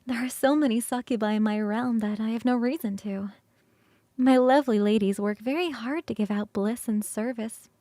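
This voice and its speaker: A woman voicing an ancient succubus queen for ASMR roleplay, tender and teasing, speaking slowly and intimately, often near a whisper. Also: a little high, mellow, no room sound, close to an everyday voice.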